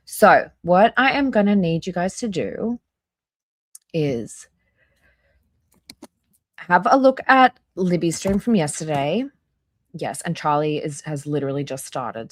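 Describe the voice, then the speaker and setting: A woman's voice: fairly low pitch, no room sound. A woman hosting a casual reaction livestream, reading aloud in an informal way with offhand asides. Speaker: livestream host.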